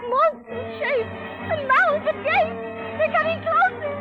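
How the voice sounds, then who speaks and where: Casting: timid storybook princess, extremely high, lilting girlish voice, sweet and innocent, old radio drama on a tinny recording. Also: unhurried. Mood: terrified. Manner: sweet, girlish, wide-eyed. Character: innocent, gentle, timid young fairy-tale princess. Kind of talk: 1930s radio drama acting. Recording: tinny 1930s radio recording, loud music.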